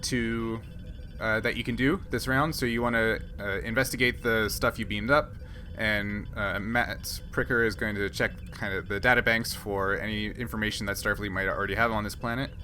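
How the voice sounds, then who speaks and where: A man acting as game master, narrating a tabletop roleplaying game and explaining rules in a halting, think-aloud way. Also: fairly low pitch, fairly even intonation, bright, forceful tone, a little hiss or hum.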